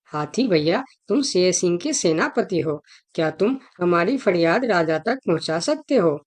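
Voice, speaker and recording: Woman as a worried, animated cartoon rabbit. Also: fairly low pitch, measured pace. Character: worried rabbit petitioning for missing companions. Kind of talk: Hindi children's cartoon dialogue.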